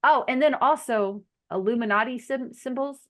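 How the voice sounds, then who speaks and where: A woman's voice: fairly high pitch, moderate pace. A woman with a blended Arkansas Southern and New Jersey accent, chatting casually and telling stories in folksy language as host of a group video call. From Arkansas, United States. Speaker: host of an online group chat.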